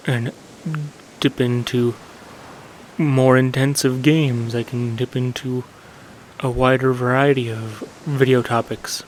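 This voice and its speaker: Soft-spoken man musing about his channel. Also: mid-range voice, slight background noise, low-quality recording. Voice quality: soothing. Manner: meandering, self-correcting with fillers. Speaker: gaming channel host. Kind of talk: casual reflective monologue.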